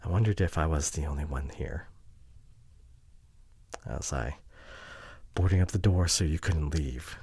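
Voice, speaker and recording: A man playing a regretful, wounded boyfriend pleading with his partner in an ASMR audio drama, halting and emotional, often dropping to hushed tones. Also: a bass voice, breathy edge, no room sound, full character voice. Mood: wounded, dejected.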